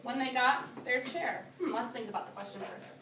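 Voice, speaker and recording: A woman's voice: a little high, brisk, pushed and bright. A woman teacher reading a storybook aloud to young children and talking it over, patiently and simply, repeating their answers back. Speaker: preschool or early grade teacher. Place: indoor room with young children. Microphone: phone or camera at a distance. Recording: roomy, distant phone video with noise.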